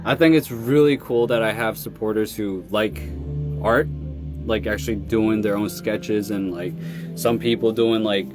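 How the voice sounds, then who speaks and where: A man in his thirties chatting casually on a phone live stream, reading fan comments and answering in a relaxed way, with plenty of fillers and laughs. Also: lowish voice, measured pace, over music. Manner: laid-back, lots of fillers and laughs. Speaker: musician streaming live with fans.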